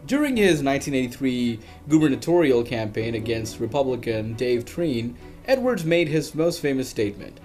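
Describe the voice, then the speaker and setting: A man's voice: mid-range voice, big pitch swings, a little mellow, soft background music. Man narrating a dramatic history story. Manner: dramatic storytelling with emphatic pauses. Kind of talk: scripted documentary narration.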